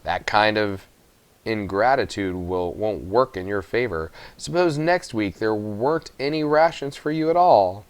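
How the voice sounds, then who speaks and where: A man voicing a fat, greedy miller in a children's storybook, oily, patronising and quietly menacing. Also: on the low side, expressive, unhurried. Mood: veiled warning. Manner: smooth, patronising, veiled menace. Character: fat, greedy male miller, smugly condescending.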